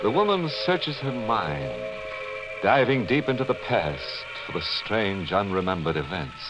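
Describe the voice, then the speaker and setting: Macabre 1940s radio horror host: slow, theatrical, darkly gleeful, muffled vintage sound. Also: male voice, lowish voice, sing-song. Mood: ominous. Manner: theatrical, drawn-out, chuckling delivery. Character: macabre, gleefully morbid horror show host cracking ghoulish puns. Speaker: host and narrator. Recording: muffled old radio recording with music.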